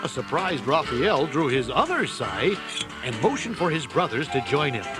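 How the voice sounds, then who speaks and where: A man's voice: medium pitch, sing-song, normal speed. A man reading a children's audio storybook as a dramatic narrator. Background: loud music.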